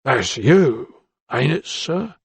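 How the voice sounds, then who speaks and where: A man's voice: medium pitch, very animated, extremely slow, vocal fry, slightly rough, dry recording, full character voice. A man voicing a timid, grasping ironmonger with a thin, bleating voice and a wheedling, deferential manner. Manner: wheedling and deferential, keeps saying sir. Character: timid, grasping male shopkeeper, working class.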